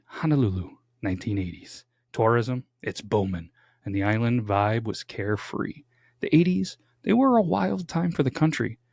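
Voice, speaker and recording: Young male podcast host, mid-pitch, chatty and jokey, dry close studio sound. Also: a little mellow, no echo. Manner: chatty, jokey, dramatic build-ups. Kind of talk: casual true-crime podcast chat. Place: home studio.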